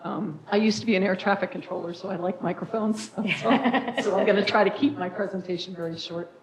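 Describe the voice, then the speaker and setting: A woman with a raspy voice who directs a nonprofit, presenting informally to a city council with frequent fillers. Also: medium pitch, brisk, crowd noise. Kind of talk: public presentation at a council meeting. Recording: echoey council chamber.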